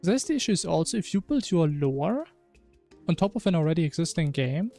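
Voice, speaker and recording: A man's voice: a little high, big pitch swings, a little fast, creaky, mellow, soft background music. A man streaming a video game, chatting casually and musing in a rambling way. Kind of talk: casual chat while gaming.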